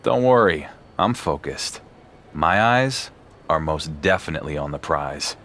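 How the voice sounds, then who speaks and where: An actor playing a young champion wrestler who talks in a dry, sarcastic way. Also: male voice, extremely low pitch, a little slow, a bit bright, exaggerated voice.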